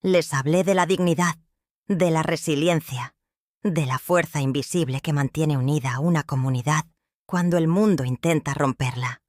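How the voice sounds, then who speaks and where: An older woman with a Mexican accent narrating her own life story in a slow, heartfelt, reflective way. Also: sits low, a little mellow, no echo, clean studio sound. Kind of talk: first-person storytelling narration.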